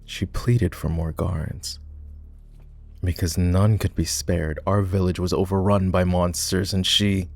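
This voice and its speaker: Proud, bitter war-hero knight for fantasy roleplay ASMR, male actor; lowish pitch, sardonic delivery, soft music underneath. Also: little pitch movement, a little slow, gravelly. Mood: grieving, bitter. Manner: scornful, dramatic, sardonic.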